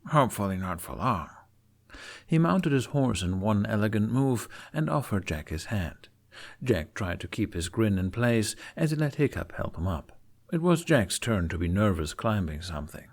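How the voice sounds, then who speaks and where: A man reading a scripted fantasy story aloud in an expressive audiobook style. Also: on the low side, normal speed, gravelly. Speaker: fan fiction narrator.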